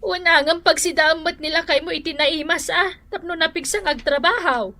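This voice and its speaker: A woman playing a sharp-tongued, complaining older mother with an Ilocano accent in a radio drama. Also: extremely high pitch, normal speed, full character voice.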